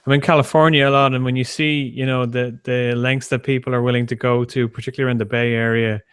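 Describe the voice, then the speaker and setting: An Irish man on a chat panel, speaking haltingly with many uhs. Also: mid-range voice, flat delivery, a bit bright, clean tone, no echo, clean studio sound. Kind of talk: casual panel discussion.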